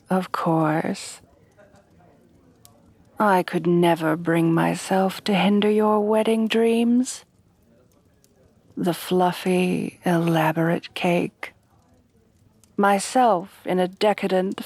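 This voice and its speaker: A woman plays an elegant headless horsewoman bride, devoted and formal, in an intimate ASMR roleplay. She speaks very slowly and softly, with a breathy tone that often sinks into a whisper. The sound is close and dry, without room echo. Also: fairly low pitch, exaggerated voice. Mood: devoted, indulgent. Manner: refined, formal, courtly, tenderly doting. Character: refined, devoted headless horsewoman bride-to-be, adult monster girl. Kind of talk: soft-voiced romantic ASMR roleplay.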